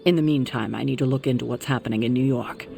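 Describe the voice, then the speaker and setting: An actor playing a composed, authoritative leader of a superhero team, speaking in a measured, formal, articulate way in a dramatic video game. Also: male voice, mid-range voice, fairly even intonation, vocal fry, lightly acted. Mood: grave, informative. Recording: music behind the voice.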